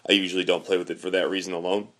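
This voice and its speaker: A man hosting a casual gaming podcast, chatty and quick with follow-up questions. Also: low voice, little pitch movement, a bit bright. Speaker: podcast host.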